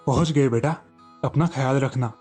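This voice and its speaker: A man's voice: medium pitch, normal speed, a little mellow, husky, soft background music, lightly acted. A man voicing a protective village farmer father in a children's story. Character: protective village farmer father, fretting over his son.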